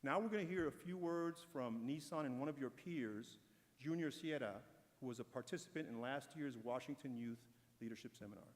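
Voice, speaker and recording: A man giving a prepared, encouraging speech as a corporate speaker at an awards ceremony. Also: mid-range voice. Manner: measured, encouraging delivery. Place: large event hall. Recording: long hall reverb.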